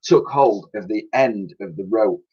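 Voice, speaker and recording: A man reading a children's story aloud as a teacher would, with dramatic, expressive delivery. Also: on the low side, unhurried, husky. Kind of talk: animated storybook narration. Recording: dull, lo-fi sound.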